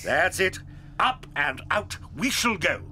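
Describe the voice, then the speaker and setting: An actor playing an eccentric, excitable old male chocolate maker in a full-cast audio drama. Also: high-pitched, takes it slowly, heavily acted. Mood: excited. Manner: excitable, theatrical, lively swings.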